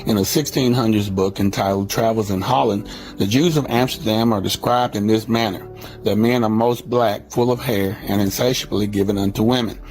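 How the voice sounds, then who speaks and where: A man giving scripted documentary narration in a measured, steady reading. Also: fairly low pitch, poor audio. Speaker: video narrator. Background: faint music.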